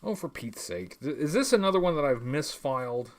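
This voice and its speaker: Man with a higher-pitched voice, rambling casually. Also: animated. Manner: rambling, halting, stop-start delivery; wry, tongue-in-cheek. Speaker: livestream host. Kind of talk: casual livestream chat reading viewer messages.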